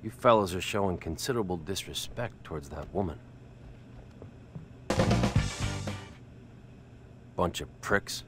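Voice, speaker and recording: An actor playing a gruff, menacing biker antihero who talks in terse, blunt lines for a video game. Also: male voice, low-pitched, expressive, a little fast, fairly bright tone, a little hiss or hum. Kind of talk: video game cutscene acting.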